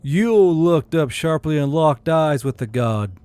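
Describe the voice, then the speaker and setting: Mellow male audiobook narrator. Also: a little high, animated, unhurried, smooth, dry recording. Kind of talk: scripted audiobook narration of fantasy war fiction.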